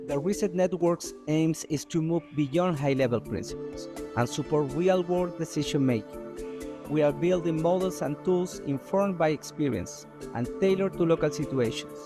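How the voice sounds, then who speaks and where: A man from Chile, an environmental economist, giving a steady, measured scripted narration for a video. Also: fairly high pitch. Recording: video voice-over with music behind.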